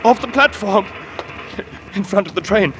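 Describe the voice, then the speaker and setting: A man's voice: high-pitched, expressive, moderate pace. An actor playing a bitter, hot-tempered young man with a guilty secret in an old radio drama, clipped and intense. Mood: agitated, accusing. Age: young adult. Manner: intense, clipped, swinging from muttered to explosive. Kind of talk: mid-century American radio drama acting. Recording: old radio recording, faint noise.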